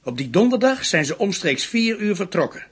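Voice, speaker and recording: An actor playing a dutiful, earnest police assistant in a radio drama, precise in his reporting, with a husky voice. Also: high voice, sing-song, moderate pace, heavily acted.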